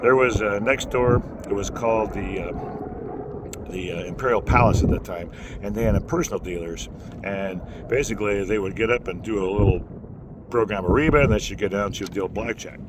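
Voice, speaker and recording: A man with a low voice teaching singing in a folksy, rambling way, with many fillers and restarts. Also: moderate pace. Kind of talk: casual instructional talk. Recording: noisy background.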